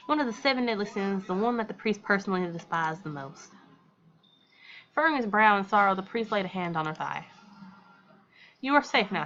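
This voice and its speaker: A woman hosting a casual let's play in a very bright voice, caught on a slightly muffled microphone. She reads visual novel narration and drops in candid, slangy commentary. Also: medium pitch. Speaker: gaming video host.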